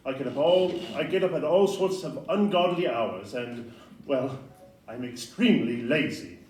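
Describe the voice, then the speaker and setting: A man's voice: mid-range voice, moderate pace, a little mellow, a little hiss or hum, natural voice. A man acting a frail, courteous Victorian doctor with formal, wordy phrasing in a live audio play. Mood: courteous, weary. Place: live performance in a club room. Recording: distant roomy live stage recording.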